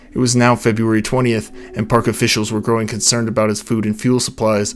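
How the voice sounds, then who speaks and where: A young man with a low, soft voice, reading a scripted account of a mystery late at night at home. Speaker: narrator of a mystery channel. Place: home recording space.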